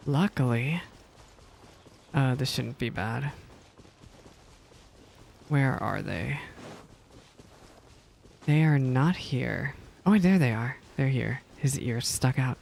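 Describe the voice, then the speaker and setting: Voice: medium pitch, creaky, a little mellow. Chatty game streamer, faint noise behind. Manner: chatty, exclamatory. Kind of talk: casual live reaction commentary.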